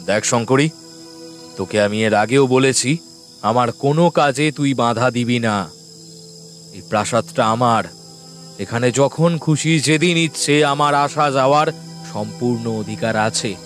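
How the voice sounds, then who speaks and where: An actor playing a haughty, domineering landlord, imperious and dismissive, in a horror audio drama. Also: male voice, mid-range voice, a little slow, pauses often, fairly bright tone, faint music behind, close to an everyday voice. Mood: haughty rebuke. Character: haughty, domineering male landlord scolding his servant.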